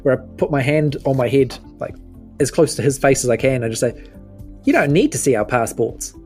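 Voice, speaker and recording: A man plays a tabletop game in casual, improvised talk. His pitch moves around a lot as he speaks, and there is clear music behind him. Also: animated, a little fast, darkish tone.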